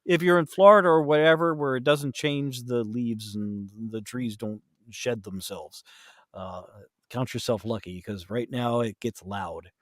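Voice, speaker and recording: Guy chatting on a podcast, average pitch, acts out little quotes as he tells stories, no room echo. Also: steady tone, measured pace, studio quality. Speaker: podcast guest, voice actor. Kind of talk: casual chat, anecdotal.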